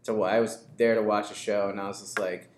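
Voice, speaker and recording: A man's voice: on the low side, flat delivery, fast talker. A man talking casually as a participant in a podcast conversation. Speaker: podcast participant, improv performer. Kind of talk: casual chat. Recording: echoey room with faint background noise.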